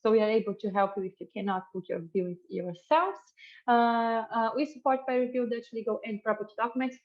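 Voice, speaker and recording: Middle-aged woman from Brazil, webinar host: friendly, rambling, lots of fillers, higher voice, dull call mic. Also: steady tone, quick pace. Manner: friendly, many fillers and restarts, laughs easily. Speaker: webinar host, housing buying manager. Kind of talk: presenting a webinar.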